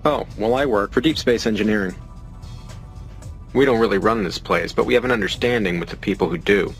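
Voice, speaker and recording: A man playing a smooth-talking trade contact. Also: lowish voice, a little fast, soft background music, close to an everyday voice. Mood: friendly. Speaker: game character. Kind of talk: video game cutscene acting.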